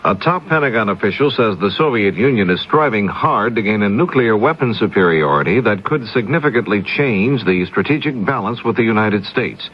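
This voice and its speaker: Guy reading 70s radio news, low husky voice, muffled old am radio sound. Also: animated, fairly quick, few pauses, slight background noise. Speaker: radio newscaster. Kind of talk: scripted 1970s radio news bulletin. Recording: dull lo-fi 1970s AM radio recording.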